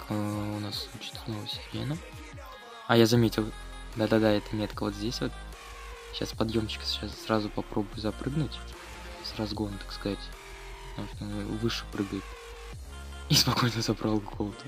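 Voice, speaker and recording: Man, lowish voice, gaming video host, casual slangy running commentary, background music. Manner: informal, slangy running commentary addressed to viewers.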